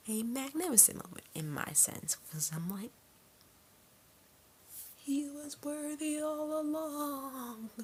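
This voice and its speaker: Casting: female fan reviewer, low register, casual and excitable, rambling delivery, slight background noise. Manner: excitable fan, acting out imagined character lines. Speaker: movie reviewer.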